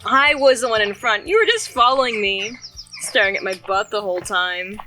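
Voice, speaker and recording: A woman's voice: mid-range voice, animated, normal speed, pushed and bright, faint music behind. A woman playing a bold, talkative girlfriend in an audio drama, coy and teasing in manner.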